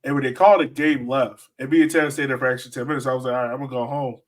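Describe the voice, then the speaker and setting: A man's voice: mid-range voice, steady tone, racing, far from the mic. A man co-hosting a sports podcast, chatting casually and telling stories in slangy, acted-out quotes. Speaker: podcast co-host.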